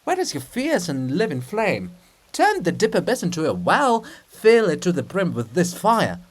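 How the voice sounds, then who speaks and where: A reader voicing a grandiose, ecstatic holy male poet, declaiming in a drawn-out, rhapsodic way in a stage drama. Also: medium pitch, huge pitch swings, breathy edge. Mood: rapturous exhorting.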